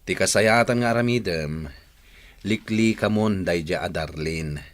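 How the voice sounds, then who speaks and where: A man's voice: sits low, unhurried. A man with a Filipino accent playing a sensible young friend, frankly advising and admonishing in a radio drama.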